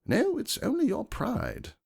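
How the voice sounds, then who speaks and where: Man as an animated, self-assured gentleman stallion. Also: very high-pitched, unhurried, creaky, breathy edge, pitched above the performer's own voice, exaggerated voice. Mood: cutting. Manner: emphatic, cutting. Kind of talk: dramatic first-person fan fiction reading.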